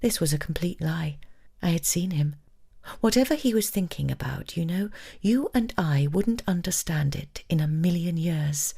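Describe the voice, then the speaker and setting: A woman's voice: on the low side, expressive, normal speed, vocal fry, mellow, breathy edge, full character voice. An actress playing a lovestruck, brazen married woman, giving an intimate, wistful dramatic reading of a forbidden romance. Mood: breathless longing. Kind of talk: dramatic first-person audiobook-style reading.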